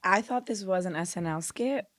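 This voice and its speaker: A woman's voice: on the low side, moderate pace, no room sound, studio quality. A woman co-hosting a podcast, amused and giggly in casual chat. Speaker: podcast co-host.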